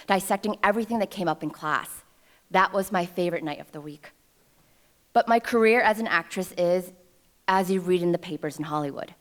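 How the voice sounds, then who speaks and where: Wry woman in her thirties telling a story. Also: on the low side. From New York City. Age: 30s. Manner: wry, self-deprecating humor. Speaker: alumna speaker at a ceremony. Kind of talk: personal storytelling speech. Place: ceremony stage before an audience.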